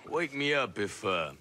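A confident American special forces soldier in a video game cutscene. His voice has gritty fry and a forceful edge. He talks in a casual, measured military way, with faint ambience behind him. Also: medium pitch, a little hiss or hum.

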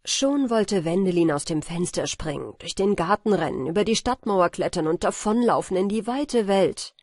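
A woman reading a fairy-tale audiobook as narrator, telling the story in a deliberate, dramatic way. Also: mid-range voice, animated, darkish tone, no room sound, studio quality.

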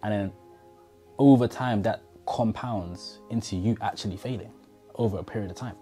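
A man's voice: fairly low pitch, normal speed, mellow, faint music behind. A man in his twenties giving an earnest motivational talk to camera in his bedroom, speaking straight to the viewer on a lapel mic. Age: 20s. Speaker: motivational vlogger. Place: bedroom indoors. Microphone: clip-on lapel mic.

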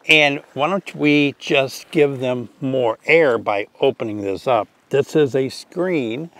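An older man giving a chatty, enthusiastic beekeeping tutorial outdoors in an apiary, talking straight to viewers. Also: mid-range voice. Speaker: beekeeping teacher. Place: outdoor apiary, grassy field.

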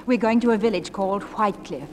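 Young widow played by a woman: refined, gently authoritative, medium register, 1940s radio acting with slight background noise. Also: mid-range voice, fast talker, natural voice. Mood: composed.

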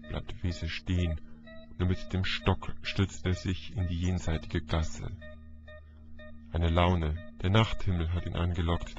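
A man narrating a literary audiobook in a dramatic, deliberate, declamatory manner. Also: sits low. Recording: dull lo-fi audio, music behind. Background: music.

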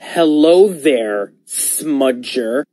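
A man voicing a chatty, scheming steam engine who insinuates things in an animated game. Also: medium pitch, extremely slow, dry recording, soft background music. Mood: sly, gossipy. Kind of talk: fan animated game cutscene acting.

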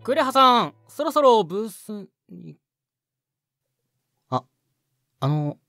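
A man's voice: high-pitched, sing-song, slow pace, gravelly, pushed and bright, dry recording, studio quality, faint music behind, full character voice. A man playing a nervous, deferential young junior sound engineer in a voice drama, hesitant and polite. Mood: hesitant, worried. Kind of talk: Japanese voice drama acting.

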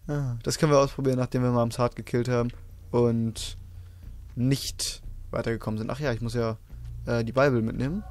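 Hoarse man chatting over a video game. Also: medium pitch, fairly even intonation, fairly quick, darkish tone. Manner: chatty, swears casually, explains to viewers. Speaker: let's play host. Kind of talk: casual gameplay commentary.

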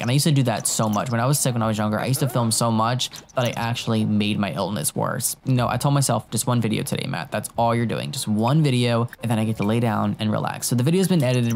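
A young man with a cold and a sore throat, chatting excitedly to viewers as he plays a life-simulation game into a headset mic. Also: medium pitch, a little hiss or hum. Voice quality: voice sounds a bit off from illness. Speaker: gaming YouTuber. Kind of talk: casual let's-play commentary.

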